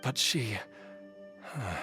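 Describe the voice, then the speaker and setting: An ailing young game hero who pushes on through the strain. His speech is slow and halting, with breathy hesitations and wide swings in pitch. Music plays underneath. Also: lively intonation, pushed and bright, full character voice. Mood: anguished, straining. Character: ailing, determined young man searching for lost memories. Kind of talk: dramatic video game voice acting.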